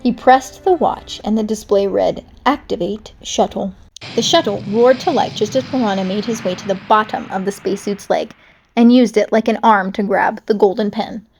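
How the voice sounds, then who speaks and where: A woman reading a children's storybook aloud, with animated, dramatic narration. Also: medium pitch, normal speed, slight background noise, low-quality recording. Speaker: storybook reader.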